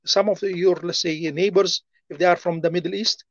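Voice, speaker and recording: A man with an Arabic accent answering interview questions in a halting way, full of fillers and restarts. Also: sits high, fairly even intonation, a bit bright, no echo, dull audio. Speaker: interview guest.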